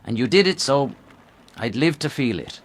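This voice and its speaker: An actor playing a stubborn, bitter, grieving veteran cop with an intense, defiant manner in a noir radio drama. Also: male voice, medium pitch, sing-song, moderate pace, slight background noise, lightly acted. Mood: bitter, betrayed.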